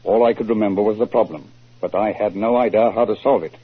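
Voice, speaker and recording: An actor playing an earnest, crafty mystery writer with a formal, measured way of telling things, in 1940s radio drama style. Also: male voice, fairly low pitch, breathy edge. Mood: sheepish, amused. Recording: muffled tinny 1940s radio recording.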